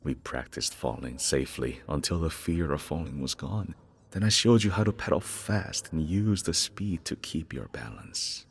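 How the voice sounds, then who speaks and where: A weary father from a game story, with an extremely deep and heavily rasping voice. He reflects with regret and tenderness, as if telling old memories to his child. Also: animated, moderate pace, heavily acted. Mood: tender, nostalgic. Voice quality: gravelly, husky, very deep. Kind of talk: dramatic first-person game monologue.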